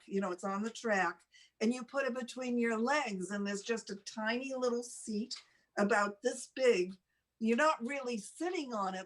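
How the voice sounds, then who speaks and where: A woman's voice: mid-range voice, poor audio. Older woman telling a rambling personal story. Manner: rambling, halting, self-deprecating. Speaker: talk show host.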